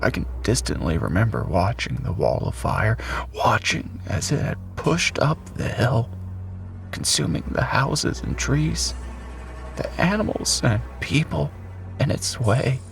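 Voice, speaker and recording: A man playing a doomed blast survivor recording his last words in an audio drama, raspy, halting and breathy. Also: mid-range voice, sing-song, faint music behind. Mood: shocked, dazed. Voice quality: raspy, coughing. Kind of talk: dramatic audio story monologue.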